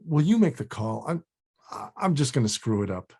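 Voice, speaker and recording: A male actor plays a defensive, profane older man in a comedy read. The voice is husky and very animated in pitch, grumbling and blunt in a natural way. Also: normal speed. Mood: defensive, exasperated. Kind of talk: table read of a comedy script.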